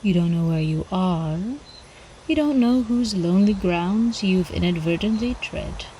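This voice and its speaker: Lady acting as an old vampire countess for asmr, slow soft mellow murmur, refined and teasing, crickets behind. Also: on the low side, expressive, a little hiss or hum, rough recording. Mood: seductive, wistful. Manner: slow, refined, coyly teasing, formal old-fashioned diction.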